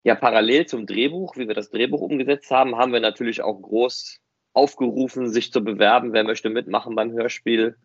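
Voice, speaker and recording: A man's voice: medium pitch, fairly even intonation, brisk, no room sound, muffled recording. A man co-hosting a podcast, chatting casually and explaining emphatically with quoted lines. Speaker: fan podcast co-host. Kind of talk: casual chat.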